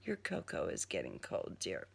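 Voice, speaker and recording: A man's voice: deep voice, huge pitch swings, normal speed, vocal fry, a bit bright, husky, pitched below the performer's own voice, heavily acted. A woman voicing a coolly polite, menacing male serial killer in an audiobook, with formal, genteel wording. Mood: cool, mocking.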